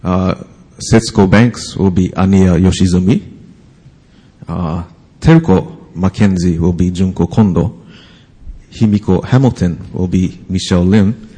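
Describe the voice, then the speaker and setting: A man from Hawaii with a Hawaiian English accent, introducing panelists at an event in a halting way full of fillers. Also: sits low, dark tone, slightly rough, big-room sound, low-quality recording. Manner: halting, many fillers and pauses. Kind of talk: hesitant formal introduction.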